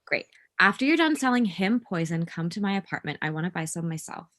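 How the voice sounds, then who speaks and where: A woman's voice: fairly low pitch, animated, brisk, a bit bright. A woman playing a scheming, matter-of-fact poison buyer in a comedy table read. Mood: brisk, secretive.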